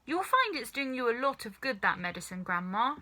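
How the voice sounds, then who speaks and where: A woman's voice: on the high side, very animated, brisk, sharp tone. A woman voicing an eager, polite, slightly cheeky young boy in a children's storybook. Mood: excited, reassuring.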